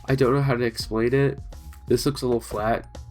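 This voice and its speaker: A man's voice: fairly quick, faint music behind. Stuffy-nosed man giving casual build tips. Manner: relaxed, chatty instructions punctuated with "boom". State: stuffy nose from allergies. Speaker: gaming video creator. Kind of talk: casual building tutorial over gameplay.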